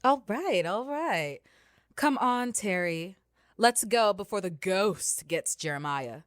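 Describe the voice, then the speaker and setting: Woman playing a teasing young prankster, smug, slow-paced, very expressive intonation, amateur radio drama. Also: mid-range voice, no room sound, studio quality. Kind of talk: amateur radio play acting.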